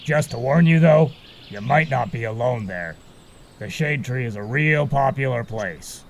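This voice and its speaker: A man playing a friendly, laid-back guide in an amateur audio drama, gravelly and casual. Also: mid-range voice, expressive, unhurried, slight background noise.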